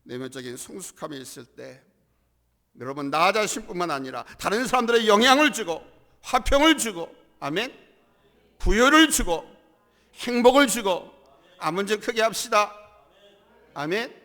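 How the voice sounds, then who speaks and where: A male pastor with a cold-hoarsened voice, preaching a sermon emphatically in a church hall, with swells in volume and calls to the congregation. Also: sits high, expressive, moderate pace, long pauses, sharp tone.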